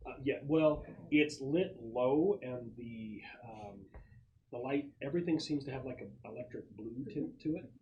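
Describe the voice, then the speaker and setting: Man game master, halting tabletop narration. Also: mid-range voice, dull audio, a little hiss or hum. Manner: halting, searching for words as he describes.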